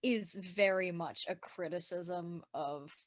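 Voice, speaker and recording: Low-pitched woman in casual group chat. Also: slow pace, crowd noise. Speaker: podcast creator and voice actor.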